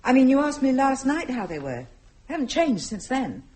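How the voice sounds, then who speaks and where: An actress playing a proud, troubled woman in a radio drama, with a husky, breathy voice and a pointed, intense manner. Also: sits high, big pitch swings, normal speed, a little mellow, heavily acted. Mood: cool, pointed. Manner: intense, pointed, repeats phrases for emphasis. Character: troubled, proud woman, smothered by protective man. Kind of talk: naturalistic radio drama acting.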